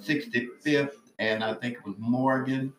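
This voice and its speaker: A man in his late seventies recalling his past in a casual family interview, halting and searching for details. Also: medium pitch, steady tone, fairly bright tone. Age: 70s. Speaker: interviewed relative. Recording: roomy, faint background noise.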